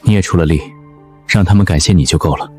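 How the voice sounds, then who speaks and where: A man plays a calculating young survivor in an animated drama. His voice is very low, terse and detached, with music faintly behind it. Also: fast, husky. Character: cool, calculating young male exam survivor. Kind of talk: animated web drama dialogue. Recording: background music under dialogue.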